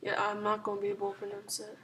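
Hoarse, sick woman rambling over gameplay. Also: mid-range voice, monotone, quick pace, a little hiss or hum. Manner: rambling, full of "like" and "um", soft-spoken. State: hoarse and sick, sore throat. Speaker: gaming channel host. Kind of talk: casual strategy game commentary.

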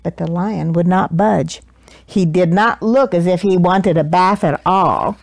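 Casting: woman, 60s, expressive children's story reader, dramatic emphasis, close dry lapel-mic sound. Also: on the low side, creaky, no echo. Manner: deliberate, dramatic emphasis for young listeners. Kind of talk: expressive picture-book read-aloud. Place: small living room, indoors.

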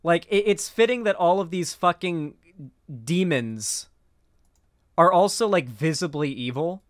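Young American man, indignant streamer, higher-pitched voice. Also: animated, no room sound, clean studio sound. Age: 20s. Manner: indignant, sarcastic, halting, profane. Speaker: live-streamer, political commentator. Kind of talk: casual reaction commentary. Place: small home streaming room. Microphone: close boom mic.

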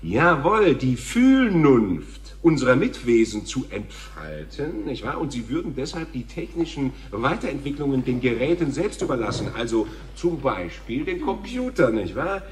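An actor playing a stammering, self-important and patronizing television talk show host in a radio play. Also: male voice, mid-range voice, big pitch swings, normal speed, hardly any pauses, a little hiss or hum, close to an everyday voice. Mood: condescending. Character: flustered, smug male TV talk show host. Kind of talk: German radio play acting.

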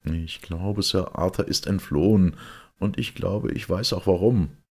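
A man's voice: low voice, unhurried, a little mellow, pitched above the performer's own voice. A man voicing a bitter, impatient young secretary, speaking urgently and emphatically in an audiobook detective story. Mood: confiding.

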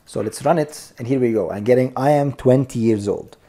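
A man with a mellow, relaxed voice walks viewers through code in a screencast lesson. He talks them through each step, checking in with "okay?" and "here we go," and calls his audience "you guys.". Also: medium pitch, brisk. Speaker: programming instructor. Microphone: voice-over on screen recording.

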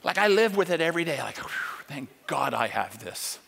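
A middle-aged man preaching on a large church stage, emphatic and animated, acting out quoted lines as he tells a story. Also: a little high, normal speed, vocal fry, breathy edge. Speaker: conference speaker. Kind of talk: sermon with storytelling. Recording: long hall reverb.

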